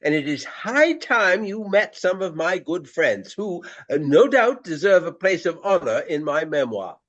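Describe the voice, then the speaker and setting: An actor playing a vain, jovial Regency stage actor with courtly, effusive, old-fashioned speech in a radio play. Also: male voice, high voice, big pitch swings, unhurried, a bit bright, muffled recording, exaggerated voice. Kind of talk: radio drama acting.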